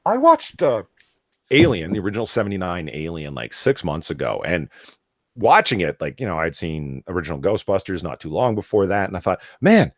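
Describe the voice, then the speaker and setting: Male chat host, low register, swinging pitch, emphatic and sweary, casual delivery. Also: gravelly, dry recording, clean studio sound. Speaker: podcast host. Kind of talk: casual live chat, reading aloud at times.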